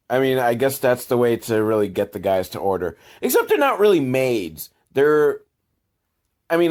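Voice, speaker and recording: A man hosting a comedic film review show, talking in a casual, rambling, wry way and swearing freely. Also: mid-range voice.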